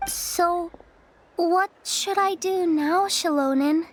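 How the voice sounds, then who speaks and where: A woman playing a timid, fragile young girl in a video game drama, speaking hesitantly and haltingly. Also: extremely high pitch, slight background noise. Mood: lost, unsure. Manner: hesitant, halting, repeats words.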